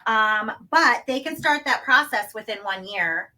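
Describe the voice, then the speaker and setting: Guest: woman, bright higher voice, explains in halting steps with lots of ums. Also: expressive. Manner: hesitant, full of fillers, explaining step by step. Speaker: guest, home financing expert. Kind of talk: casual podcast interview.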